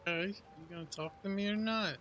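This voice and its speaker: A man streaming a video game with casual commentary. His fairly high voice drops short muttered asides over clearly audible music. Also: fairly quick, fairly bright tone.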